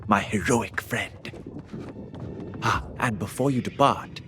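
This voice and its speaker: An actor playing a calm, courteous quest giver in a game, formal in tone. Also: male voice, fairly low pitch, takes it slowly, over music. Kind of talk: video game cutscene acting.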